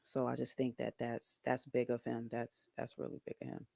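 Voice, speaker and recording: A soft-voiced man talking casually to camera, hesitant, with many ums and restarts. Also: medium pitch, monotone, normal speed, gravelly, no echo. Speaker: video creator.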